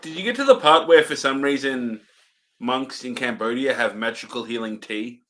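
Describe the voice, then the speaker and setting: A man co-hosting a podcast with a bright, forward voice. He talks in a relaxed, easygoing way and chuckles often between his thoughts. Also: medium pitch, moderate pace. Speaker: podcast co-host. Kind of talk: casual chat.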